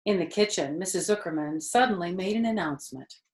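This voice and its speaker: Woman reading a kids book out loud, lowish voice, expressive, echoey room. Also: brisk. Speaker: storyteller reading to children. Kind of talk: expressive children's storybook narration.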